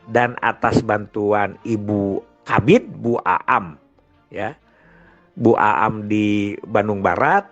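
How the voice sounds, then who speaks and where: A man's voice: fairly low pitch, takes it slowly. Older Indonesian man reminiscing, dull lo-fi recording. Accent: Sundanese-region Indonesian. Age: older, grandfather. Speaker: storyteller. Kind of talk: informal reminiscing monologue. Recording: dull lo-fi sound with music behind. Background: music.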